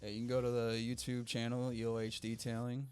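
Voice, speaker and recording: A man co-hosting a podcast in a relaxed, casual way, often agreeing and chiming in. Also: mid-range voice, brisk. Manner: relaxed, with frequent agreeing fillers. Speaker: podcast co-host. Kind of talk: casual chat.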